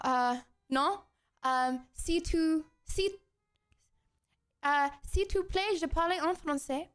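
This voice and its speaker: Casting: woman playing a snooty French madame for livestream comedy, very high voice, slow delivery, theatrical put-on French accent. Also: fairly bright tone, exaggerated voice. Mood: playful, smug. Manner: pompous, theatrical, repetitive. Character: haughty, flamboyant French lady food snob with mustache. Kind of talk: playful in-character livestream chat.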